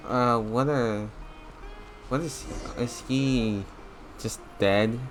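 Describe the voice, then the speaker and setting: A woman's voice: rumbling low, drawn-out words, soft background music. A woman giving casual, hesitant commentary while playing a game. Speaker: gamer reacting to gameplay.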